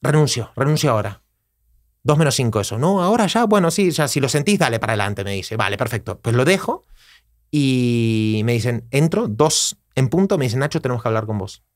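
A man in his thirties with an Argentine Spanish accent, a podcast guest telling his story in a casual, animated way. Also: medium pitch, fairly quick. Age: 30s. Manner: animated storyteller, gestures while talking, acts out quoted dialogue. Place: small studio with acoustic panels. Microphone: dynamic mic on desk stand, headphones.

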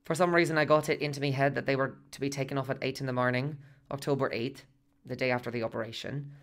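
A man's voice: medium pitch, fairly even intonation, fast talker, a bit bright, close to an everyday voice. An actor in a radio play playing an earnest husband who tells his story in a conversational, rambling way. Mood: earnest recollection. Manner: conversational, rambling, detailed asides. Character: earnest, chatty working-class husband recalling a hospital visit.

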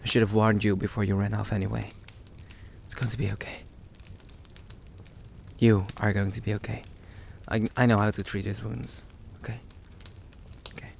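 A man acts out a caring, protective boyfriend in an intimate audio roleplay. His voice is low and gravelly, with a slow, halting way of speaking to his partner. There is clear ambient noise behind him. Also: flat delivery. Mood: tender, reassuring. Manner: soothing, reassuring, halting, addressing the listener as his partner. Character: sweet, protective young boyfriend, anxious and tender.

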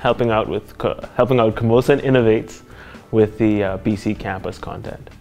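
A man's voice: on the low side, fairly even intonation, normal speed, darkish tone, soft background music. Young man. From Bahamas. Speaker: programmer. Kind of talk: testimonial interview. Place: studio with dark backdrop. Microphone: lapel mic.

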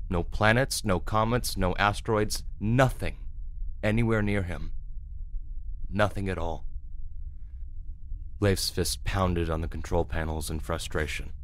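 A man reading a science fiction audiobook as narrator, with measured, deliberate, theatrical delivery. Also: sits low, a little hiss or hum. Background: music.

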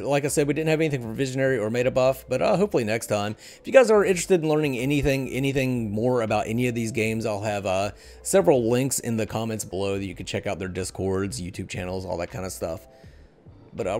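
Male podcast host, middle-aged, mid-pitched, informal and conversational, headset setup. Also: a little mellow. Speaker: show host. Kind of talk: casual gaming podcast hosting. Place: indoor room at a desk.

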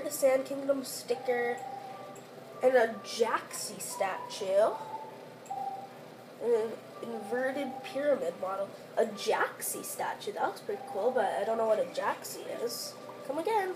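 A woman with a high voice narrating her game as she plays, excitable and quick to exclaim. She is sick, and her voice is wearing thin. Faint music sits underneath. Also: poor audio. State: sick, losing voice. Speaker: gameplay commentator. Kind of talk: let's play commentary.

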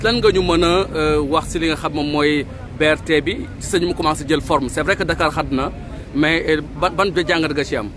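A man's voice: a little high, brisk. A man asking questions in a street interview and prompting with short acknowledgements, recorded outdoors on a busy street. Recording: street traffic noise behind.

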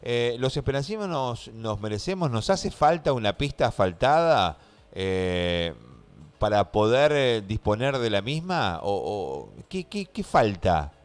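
A man's voice: on the low side, big pitch swings, measured pace. A middle-aged Argentine man hosting a live radio interview in a conversational, affirming way, close to a studio microphone. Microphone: close studio microphone, headset monitoring.

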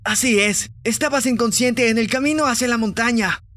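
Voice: medium pitch, normal speed, a bit bright, lightly acted. A performer playing a hot-headed, protective teenage boy in an anime fandub, emphatic and earnest. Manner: emphatic, impulsive.

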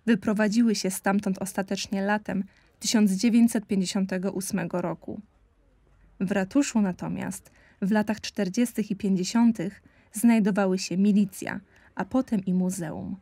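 A woman's voice: mid-range voice, fairly even intonation, normal speed, warm tone, no echo. A woman reading scripted documentary narration as a narrator.

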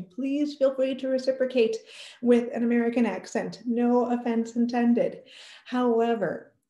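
A woman in her thirties telling a family story to camera in a casual, animated way. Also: mid-range voice, roomy sound. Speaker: parenting educator. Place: small room indoors.

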